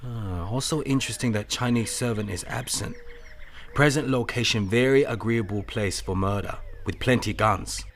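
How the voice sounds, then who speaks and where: A man's voice: on the low side, normal speed, slight background noise, lightly acted. A man playing a shrewd, courteous Chinese detective in an audio mystery drama, speaking in clipped formal phrases with dropped articles. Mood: shrewd, observant. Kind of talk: audio drama re-creation of 1930s mystery film.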